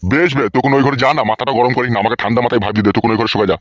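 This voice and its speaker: A man's voice: medium pitch, very fast, no echo, dull audio, close to an everyday voice. A man voicing a weary, indulgent old village father keeping the peace in a comic cartoon. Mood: placating. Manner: peacekeeping, exasperated scolding.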